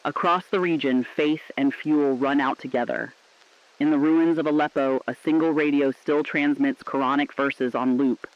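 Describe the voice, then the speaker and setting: A man playing a solemn radio correspondent reporting humanity's collapse, in scripted narration that is grave, deliberate and poetic. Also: a little high, steady tone, highs cut off, natural voice. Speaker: radio broadcaster.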